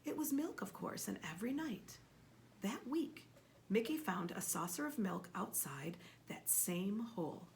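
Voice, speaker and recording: A woman reading a children's story aloud expressively and emphatically, pausing to ask a child questions. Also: mid-range voice, gravelly, slight background noise. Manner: expressive, emphatic, with repeated words for effect.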